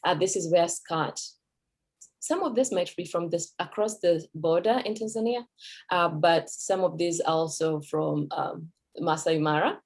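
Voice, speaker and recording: A Kenyan woman with a lowish voice gives an informal talk. She speaks conversationally, often pausing with fillers and correcting herself mid-sentence. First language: Kikuyu. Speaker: Swahili teacher. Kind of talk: talk at a cultural event.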